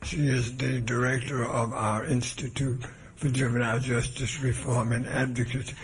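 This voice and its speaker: A man with a hoarse voice delivers a speech from a podium microphone. He speaks in a measured, deliberate way, restarting phrases as he goes, at a middling pitch with faint noise underneath. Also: level tone. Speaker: guest speaker. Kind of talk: public speech at a gathering.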